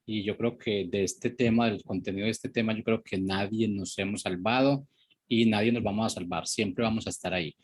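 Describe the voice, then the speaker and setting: A man's voice: on the low side, fairly even intonation, brisk, breathy edge. A man hosting a radio segment in a conversational presenting style. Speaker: radio host.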